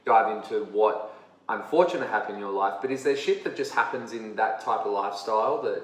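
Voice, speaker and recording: A man's voice: on the low side, fairly quick. A man in his twenties with an Australian accent, hosting a casual podcast interview and asking loose, rambling questions. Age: 20s. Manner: laid-back, rambling questions with "you know" and "yeah". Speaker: podcast host. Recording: roomy sound from a distant mic.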